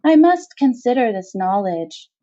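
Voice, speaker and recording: A woman's voice: fairly high pitch, big pitch swings, measured pace, mellow, no echo, dull audio, voice pushed up. A woman voicing a giant female arachnid matriarch in a storybook reading, imperious and calculating, with formal, stilted, commanding phrasing. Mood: deliberating, regal.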